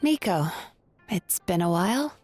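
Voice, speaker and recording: A woman playing a composed, caring therapist with dry wit in a video game, speaking in a measured, articulate way. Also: mid-range voice, animated, a little mellow, soft background music. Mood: wry.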